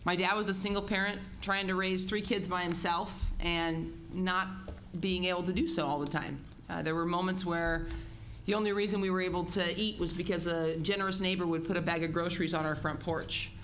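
A woman in her thirties, stuffed up with a head cold, speaks lower than usual. She tells a personal story into a table mic in a meeting room with a small audience. A faint hiss sits underneath. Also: steady tone, fairly quick, fairly bright tone. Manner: deep-voiced, personal storytelling. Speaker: political candidate.

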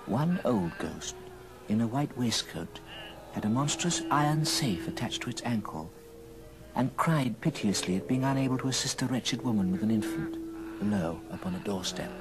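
A man narrating a story in an old radio drama, measured and theatrical. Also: fairly low pitch, lively intonation, poor audio. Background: music.

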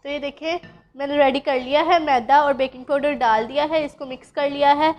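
A woman's voice: high-pitched, steady tone, brisk, muffled recording. A woman from Pakistan, patiently explaining a recipe step by step as a cooking channel host. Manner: patient, instructive, addresses viewers directly.

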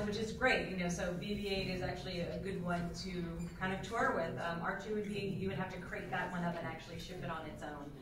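A woman's voice: on the low side, noisy background. A woman droid builder speaking on a convention panel, explaining in detail with lots of fillers. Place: large convention hall panel room. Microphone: table mic recorded by a far camera in the audience. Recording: echoey hall from far off.

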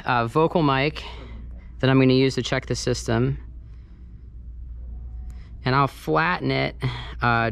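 A man's voice: a little high, a bit bright. A man giving a casual, hands-on tutorial as a sound engineer, talking informally while he works. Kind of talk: casual first-person tutorial walkthrough. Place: large carpeted banquet hall with wooden dance floor. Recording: noisy room ambience, clearly audible. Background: room noise and ambience.

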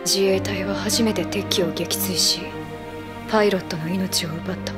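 A woman's voice: mid-range voice, fairly even intonation, moderate pace, loud music behind the voice, close to an everyday voice. A woman voice actor playing a principled naval second-in-command, speaking formally and earnestly in a military audio drama. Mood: grave, reproachful.

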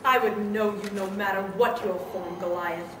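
A woman's voice: mid-range voice, steady tone, normal speed, lightly acted. A woman playing a cruel, commanding female gargoyle in a staged radio play, with an imperious theatrical delivery. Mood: menacing. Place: live convention stage reading. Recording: echoey hall, far mic, faint noise.

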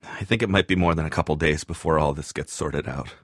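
An actor playing an awkward, earnest, tech-minded man in a zombie survival video game, speaking in a hesitant, wry, matter-of-fact way with a husky voice. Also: very deep voice, fairly quick, dry recording, studio quality. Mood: uneasy, cautious. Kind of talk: naturalistic video game voice acting.

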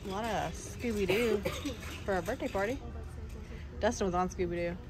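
A middle-aged woman filming a casual family vlog, chatty, teasing and quick to laugh. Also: mid-range voice. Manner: giggly, teasing, exclaims a lot. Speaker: vlogger. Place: indoors at home and a flea market.

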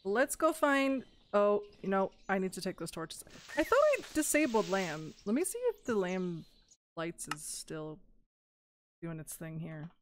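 Woman, young adult, gaming commentary, excitable and gushing, slightly hoarse, very lively pitch. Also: measured pace, slight background noise. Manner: excitable, gushing over cute animals, thinks aloud. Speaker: gaming YouTuber. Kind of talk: casual Minecraft let's play commentary.

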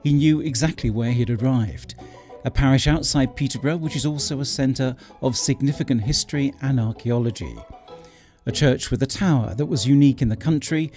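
Male narrator, measured scripted voice-over, faint music underneath. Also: medium pitch, dark tone. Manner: measured and formal. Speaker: documentary narrator.

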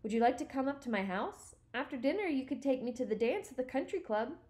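A woman reads the part of a tiny young lady in a children's storybook. She has a high voice and a practical, polite way of talking. She is breezy and unfussy. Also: expressive, fast, a little mellow. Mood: mildly impatient.

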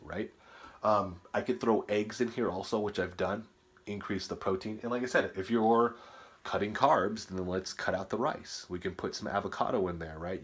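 A man's voice: sits low, husky. A man in his thirties, a personal trainer, talking casually into a phone held at arm's length in his home kitchen, often checking in with "right?" and "okay?". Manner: chatty, explanatory, tags lines with "right?" and "okay?". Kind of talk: casual cooking and nutrition vlog. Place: small home kitchen indoors. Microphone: phone camera held at arm's length.

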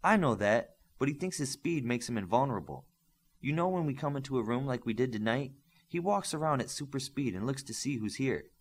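A man's voice: mid-range voice, expressive, a little fast, voice pushed up, natural voice. Young superhero teammate read by a man: earnest, agreeable, varied intonation, audiobook dialogue. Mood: earnest, explaining.

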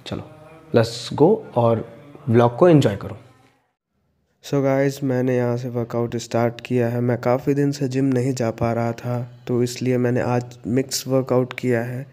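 A man's voice: medium pitch, normal speed. A young man talking to camera on a lapel mic in a small room, a fitness vlogger chatting casually and asking viewers to like and subscribe. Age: young adult. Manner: steady, flat delivery with repeated calls to like and subscribe. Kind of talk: casual vlog talk to camera.

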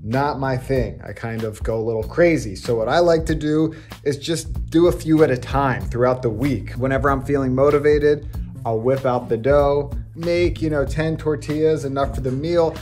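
A man's voice: medium pitch, normal speed, a little mellow. Chatty guy in his 30s doing a cooking tutorial at home, lapel mic, light music behind. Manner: upbeat, conversational, explains as he cooks. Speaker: cooking channel host. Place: home kitchen, indoors.

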